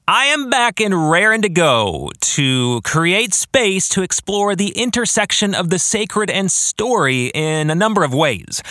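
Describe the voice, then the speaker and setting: A man delivers a podcast monologue in a bright, fairly high voice. His intonation swings widely as he stresses phrases with enthusiasm and deliberate care. The sound is dry, with little room around it. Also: no echo. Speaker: podcast host and novelist. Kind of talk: scripted-sounding podcast monologue with casual asides.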